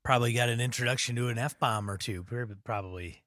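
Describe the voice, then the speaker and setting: A man co-hosting a casual gaming podcast, laid-back and laughing easily, his voice a bit raspy and tired. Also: medium pitch, brisk, fairly bright tone. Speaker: podcast co-host. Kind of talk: casual chat.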